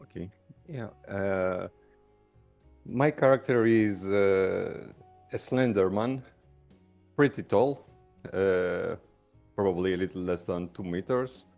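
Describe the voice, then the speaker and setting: Man, roleplaying game player, heavy vocal fry, slow hesitant casual description, faint music behind. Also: deep voice, a little mellow. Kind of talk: casual improvised character description. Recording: background music under voice.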